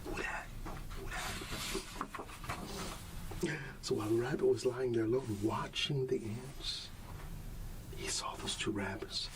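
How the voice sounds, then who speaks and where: A man softly reading a bedtime story in an ASMR style, soothing and often dropping into a whisper. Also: medium pitch, moderate pace, distant mic, noisy background. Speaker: ASMR storyteller playing a dad.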